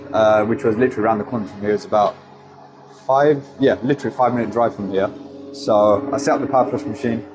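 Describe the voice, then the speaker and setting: Bunged-up tradesman. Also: lowish voice. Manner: chatty, explains work step by step. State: stuffy nose from a cold. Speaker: gas engineer. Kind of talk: casual work vlog to camera. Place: customer's house. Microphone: handheld phone.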